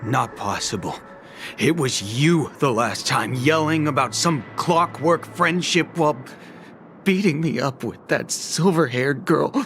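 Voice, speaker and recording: A man's voice: medium pitch, a little slow, fairly bright tone, faint music behind. A man playing an officious but timid guard in a video game, apologetic and theatrical, with formal, pleading speech. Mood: wary.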